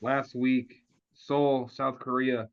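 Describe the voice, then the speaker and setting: A man's voice: mid-range voice, flat delivery, slow pace. A man playing a stern, businesslike secret agency chief in a comedy table read. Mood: grave briefing. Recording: dull, lo-fi online call.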